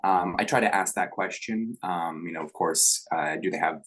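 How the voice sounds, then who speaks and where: A man's voice: low voice, moderate pace, fairly bright tone. A young man, a graduate student, speaking hesitantly with lots of ums in a video call discussion.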